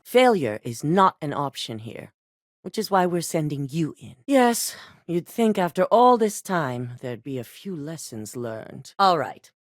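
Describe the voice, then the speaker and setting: An actress playing a ruthless middle-aged female executive in a video game, speaking in a measured, clipped, coldly authoritative way. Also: sits low, sing-song, no room sound, studio quality. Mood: stern. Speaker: company boss giving missions.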